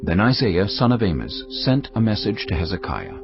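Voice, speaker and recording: Man, low voice, animated scripture narration, husky, scripted, slightly muffled. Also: normal speed, music in the background.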